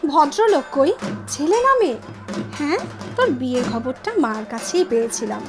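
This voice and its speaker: Actress for teasing, coaxing woman reuniting with college friends, radio-play style, high girlish voice over prominent music. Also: big pitch swings, normal speed. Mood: teasing, cheerful. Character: playful Bengali woman back from London meeting old college friends. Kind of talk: Bengali audio drama acting.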